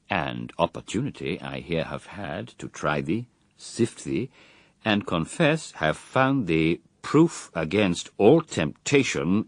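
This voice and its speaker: Very deep, slow, menacing Satan. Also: male voice, dry recording, heavily acted. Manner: rhetorical, deliberate, emphatic, declamatory. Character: Satan, cunning proud fallen-angel tempter, menacing and persuasive. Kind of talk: classical radio verse drama.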